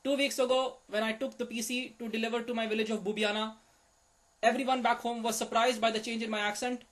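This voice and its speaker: A man playing a pompous, boastful Indian village economist with an Indian English accent, delivering a deadpan comic monologue in stiff, formal phrasing. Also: very high-pitched, quick pace. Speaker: comic show host.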